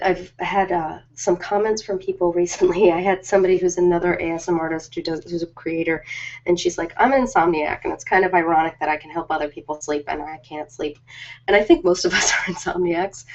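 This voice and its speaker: A woman's voice: on the low side, steady tone, a little fast, a little hiss or hum. A woman chatting casually and informally on an ASMR live stream, rambling from one thought to the next. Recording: dull lo-fi live-stream audio.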